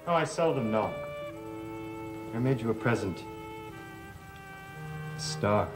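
Sly, insolent young heir. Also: male voice, mid-range voice, expressive, slow. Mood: sly, mocking. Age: young adult. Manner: sardonic, mock-polite. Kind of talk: 1960s TV soap opera acting. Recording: vintage TV soundtrack with background music.

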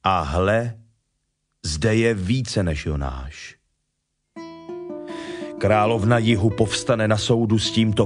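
Actor as solemn Jesus preaching over music. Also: male voice, fairly low pitch, natural voice. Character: Jesus, solemn, stern, authoritative preaching teacher. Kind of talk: dramatized Bible reading in Czech. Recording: music playing behind the voice.

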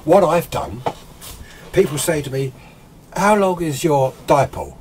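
A man's voice: a little high, very animated, measured pace. A British man in his sixties giving an informal, unscripted explanation in a conversational, emphatic way, often asking rhetorical questions. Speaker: amateur radio enthusiast presenting a tutorial. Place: small indoor radio workshop full of equipment. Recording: clear background room noise.

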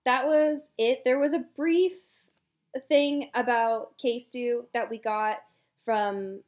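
A woman co-hosting a podcast in casual chat, giggling often and trailing off softly at the ends of sentences. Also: a little high, steady tone, slow. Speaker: podcast co-host.

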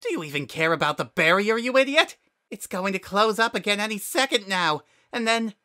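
A man's voice: sing-song, takes it slowly, pushed and bright, full character voice. A man voicing a small, spiteful, menacing flower creature who shrieks and taunts. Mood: furious shrieking. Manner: high taunting shrieks, cackling.

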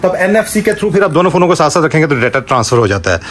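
Lively man vlogging to a phone camera. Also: fairly high pitch, very fast. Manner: lively, promotional, talks straight to the camera. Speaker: vlogger and phone shop owner. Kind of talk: casual vlog chat. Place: shop, street and restaurant kitchen. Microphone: handheld phone at arm's length.

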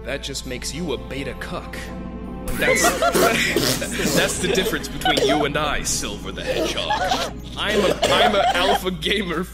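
Boastful cartoon hedgehog villain acted by a man, self-pitying gamer, fairly high lively voice, loud music underneath. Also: unhurried. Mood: cackling, boastful. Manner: boastful, cackling, theatrical. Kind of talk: improvised comedy fan dub.

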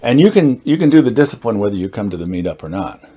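Older man with a low voice. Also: big pitch swings, fast talker, dark tone, husky. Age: 60s. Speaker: meetup founder and host, teacher. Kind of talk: informal explanatory talk to camera. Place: indoors, small studio with dark curtain backdrop.

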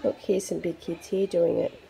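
A woman reads a picture book aloud for young children, expressive and chatty with her listeners. Her voice is mellow, with noticeable creak at its edges. It is a simple home recording with faint background noise. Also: on the low side, normal speed, poor audio. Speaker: storybook reader for children.

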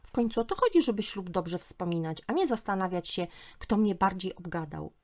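A woman voicing a gushing, chirpy, excitable female customer who confides effusively in a humorous story. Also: fairly low pitch, fairly quick. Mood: effusive, pleading.

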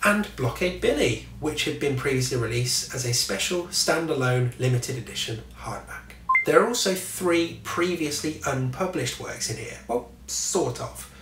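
A man's voice: medium pitch, expressive, moderate pace, a bit bright, slight background noise. Man, 30s, chatty and wry, home office, distant mic, echoey. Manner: chatty and wry, swears at passing vehicles. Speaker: book reviewer. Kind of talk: casual talk to camera. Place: small home office room. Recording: echoey room, mic set back.

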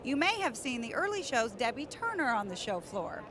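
A woman in her thirties hosting a trade show news report with crisp, upbeat broadcast delivery, speaking into a handheld mic on a convention floor. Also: a little high, big pitch swings, moderate pace, fairly bright tone, slight background noise. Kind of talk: scripted news presenting.

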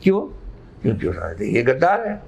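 A man, explaining things haltingly but emphatically as an interview guest. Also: medium pitch, wildly swooping pitch, gravelly, a little mellow, breathy edge. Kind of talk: unscripted interview answers. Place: living room indoors. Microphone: lapel mic. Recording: slightly muffled sound.

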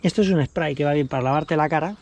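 A man's voice: a little high, fast, lo-fi sound, slight background noise. A man, casually showing his kit outdoors by his car, chatty and repeating himself as he talks to an action camera held at arm's length. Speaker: vlogger. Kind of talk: casual show-and-tell vlog.

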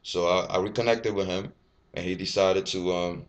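A man's voice: sits low, fairly even intonation, moderate pace. A man in his twenties, born in Ivory Coast with French as his first language, talking candidly to a webcam in a small room, rambling and swearing freely. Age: 20s. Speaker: fitness vlogger. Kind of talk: casual personal vlog to camera. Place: small bare indoor room. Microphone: webcam at desk.

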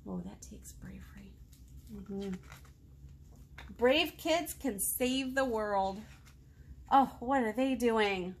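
A woman in her thirties reading a picture book aloud to young children as a storytime host, expressively, pausing to ask them questions. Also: fairly high pitch, a little hiss or hum. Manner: expressive, child-directed, asks questions and pauses. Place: indoor room, seated.

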